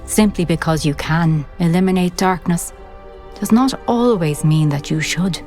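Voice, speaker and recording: Shadowy game witch, female actor: slightly low, grave and formal monologue, soft music behind. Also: close to an everyday voice. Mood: solemn, cryptic. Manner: measured, cryptic, formal. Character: solemn witch, shadowy memory of her former self. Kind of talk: dramatic game cutscene monologue.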